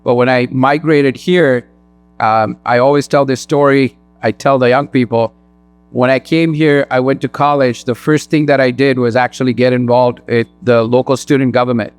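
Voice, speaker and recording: A man from Sri Lanka speaking as a guest at a mosque, earnest and in quick bursts. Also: medium pitch, fairly even intonation, smooth, no room sound. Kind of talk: personal talk at a community event.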